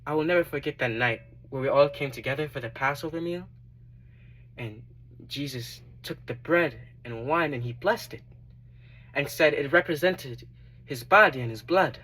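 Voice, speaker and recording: A man performing a dramatic monologue as a repentant tax collector turned devoted disciple, earnest and reflective, with deliberate emphatic swells. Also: a little high, sharp tone, slight background noise, voice pushed up, rough recording. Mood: grieving. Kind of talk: dramatic monologue, first-person testimony.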